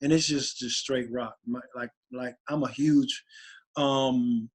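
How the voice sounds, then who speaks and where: A man, a rapper guest, talking casually and excitedly in an interview, rambling with many restarts. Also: mid-range voice, takes it slowly. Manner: animated, rambling, voice gets raspy when excited.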